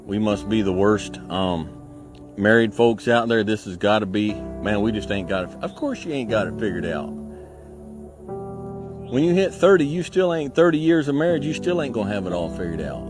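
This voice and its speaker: A man's voice: fairly low pitch, lively intonation, normal speed, music in the background. A man giving earnest, folksy marriage advice in a played clip, repeating his phrases. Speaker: relationship advice speaker.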